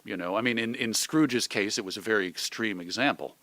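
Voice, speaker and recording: A man's voice: lowish voice, brisk, pushed and bright, no room sound. An American man giving thoughtful, articulate answers as an interview guest, often reaching for examples. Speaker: interview guest, old-time radio host and magazine editor.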